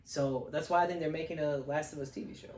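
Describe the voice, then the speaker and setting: A man's voice: on the high side, fairly even intonation, fast, a little mellow, recorded from a distance, a little hiss or hum. A young man in casual review chat as a co-host, explaining his points in a rambling way. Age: young adult. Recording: roomy, echoing sound.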